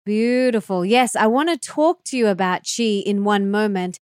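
A woman's voice: mid-range voice, moderate pace, darkish tone, clear, resonant tone, dry recording, clean studio sound. A woman speaking as a podcast host, with an effusive, enthusiastic way of introducing and interviewing.

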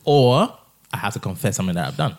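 A young man hosting a casual family podcast, teasing and laughing easily, often trailing off quietly. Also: fairly low pitch, lively intonation, fast talker. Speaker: podcast host.

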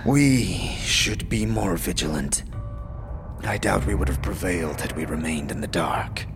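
A man's voice: low-pitched, measured pace, music in the background, voice pushed down. A man playing a weary, wary immortal adventurer in a fantasy audio drama, muttering dryly and hesitantly.

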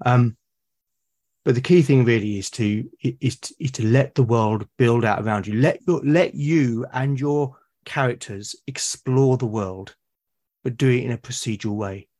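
A man's voice: medium pitch, moderate pace, a little mellow. A British man, a podcast guest, talking in a reflective, casual way and often restarting and repeating words mid-sentence. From Britain.

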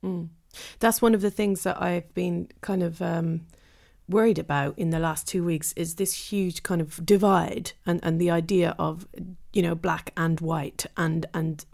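A woman's voice: on the low side, fairly even intonation, unhurried, creaky, darkish tone. A woman interviewing in a thoughtful, conversational way, hesitant as she searches for words.

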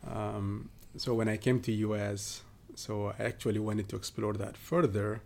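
A man from southern Ethiopia, a guest in a podcast interview, talking casually with many pauses and fillers. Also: on the low side, fairly even intonation, vocal fry, darkish tone. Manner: hesitant, many fillers and restarts.

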